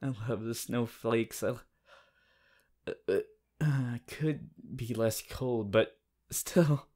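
A man playing a shy, awkward, lovestruck teenage anime boy hero in a halting, stammering romantic roleplay addressed to the listener. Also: medium pitch, darkish tone. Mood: tender, happy. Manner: halting, stammering, flustered, giggly.

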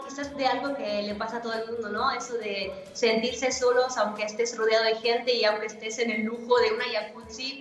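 Brief: woman in her 30s, bright-ish voice, roomy call audio. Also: a little high. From Italy. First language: Italian. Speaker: guest singer. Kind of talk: video call interview. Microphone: laptop video call.

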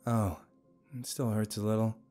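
A man's voice: fairly low pitch, little pitch movement, moderate pace, warm tone, faint music behind, natural voice. A man voicing a teasing, informal young woman, a maid in an audio story, with a breezy manner.

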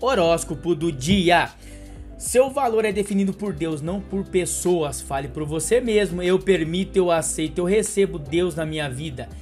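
A man in his thirties with a Brazilian Portuguese accent, reading a daily horoscope and pitching readings in a chummy, coaxing way that leans on pet names for the listener. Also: moderate pace, a little mellow. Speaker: horoscope channel host. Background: light background music.

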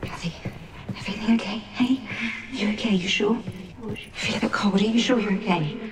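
An actress playing a gentle, concerned woman in a video game, asking after someone in a soft, caring way. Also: mid-range voice, brisk, close to an everyday voice. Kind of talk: naturalistic video game acting. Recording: distant voice, clear background noise.

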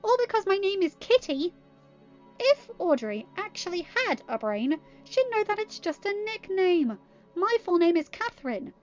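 A woman's voice: very high voice, very animated, moderate pace, dull audio, soft background music, pitched above the performer's own voice. A woman voicing a cheeky, indignant teenage princess with an emphatic, teasing way of talking.

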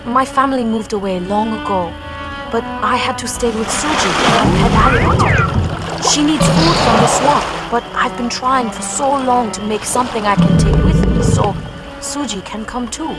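A woman voicing a mysterious, apologetic young swamp witch in a cartoon video game, earnest, halting and dramatic. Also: on the high side, close to an everyday voice, rough recording. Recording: loud music behind the voice.

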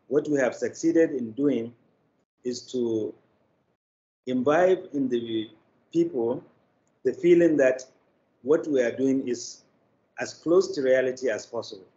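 An older man with a Nigerian accent gives interview answers in a measured, deliberate, formal manner. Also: mid-range voice, warm tone. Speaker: guest, media company executive. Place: indoor room, video call. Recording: dull, noisy video call.

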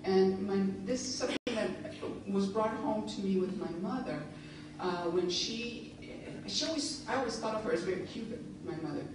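A woman's voice: mid-range voice, lively intonation, moderate pace, noisy background. A woman in her thirties giving a reflective, conversational lecture at a podium, with frequent fillers. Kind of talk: public lecture and reading. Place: lecture hall, standing at a podium. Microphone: podium mic on a stand. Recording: echoey hall, recorded from a distance.

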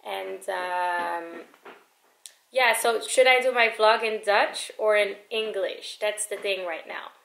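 A woman's voice: medium pitch, takes it slowly, creaky, a bit bright. A woman in her thirties chatting casually to camera as a vlogger, with plenty of ums and uhs. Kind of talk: casual vlog talk to camera. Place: home kitchen. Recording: echoey room.

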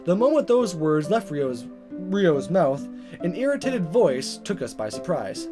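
A man's voice: sits high, sing-song, unhurried. A man reading a visual novel aloud in a dramatic way, sometimes stumbling over words and repeating them. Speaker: gaming video maker. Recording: guitar music behind. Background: game music with plucked guitar.

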